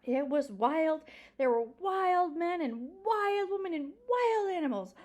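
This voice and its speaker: Woman voicing a dramatic, high-pitched elderly lady librarian. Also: lively intonation, darkish tone, heavily acted. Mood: proud, dramatic. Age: older. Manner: emphatic, drawn-out key words, folksy repetitions. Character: elderly Southern lady librarian, proud, dramatic, wistful storyteller.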